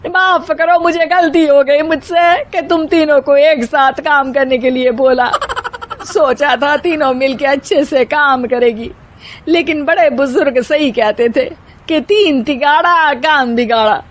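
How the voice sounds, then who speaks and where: A woman's voice: sits high, measured pace, pitched above the performer's own voice. A woman voicing a bossy, melodramatic elderly mother-in-law who scolds and wails in a comic moral story. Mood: exasperated lament. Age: older. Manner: scolding, wailing, dramatic. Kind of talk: hammy comic Hindi moral-story acting.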